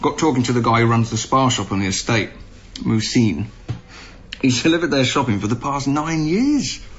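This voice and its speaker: An actor playing an earnest, harried young British council housing officer in a naturalistic radio drama. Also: male voice, medium pitch, expressive, normal speed, a bit bright, lightly acted. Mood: wry, weary. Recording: muffled radio recording, noisy ambience.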